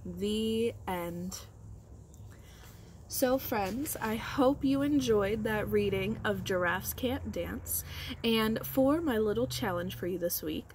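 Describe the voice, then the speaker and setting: Slow-paced woman teacher talking to children. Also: medium pitch, a little hiss or hum. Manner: encouraging, simple phrasing for children. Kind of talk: storybook read-aloud and talk to children.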